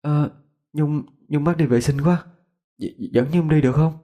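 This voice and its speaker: A man's voice: on the high side, unhurried, dark tone, pitched above the performer's own voice. A man voicing a frightened, timid young female student in a ghost story, stammering and pleading.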